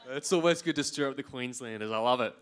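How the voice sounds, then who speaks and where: A man's voice: medium pitch, fast talker, fairly bright tone, clear, resonant tone. A man leading an interview at a church service, friendly and guiding as he introduces the topic. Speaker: church pastor interviewing guests.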